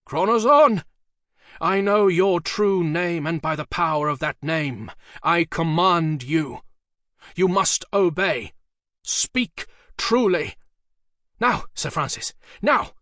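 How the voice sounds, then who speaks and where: A man's voice: fairly high pitch, animated, normal speed, dry recording, studio quality, voice pushed up. A man doing a commanding old-world magician. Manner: urgent, commanding, shouting incantations. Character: commanding Elizabethan magician conjuring a demon.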